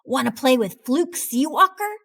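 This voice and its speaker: A woman's voice: high voice, expressive, normal speed, creaky, fairly bright tone, husky, heavily acted. A woman voicing a young boy fish in a storybook, a blunt best friend who gets exasperated. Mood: pleased.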